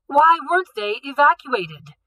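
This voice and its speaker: Woman reading as a snapping male director, raised, bright, sharp questions. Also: high-pitched, big pitch swings, a little slow, pitched above the performer's own voice, full character voice. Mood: demanding. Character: angry male museum director, demanding.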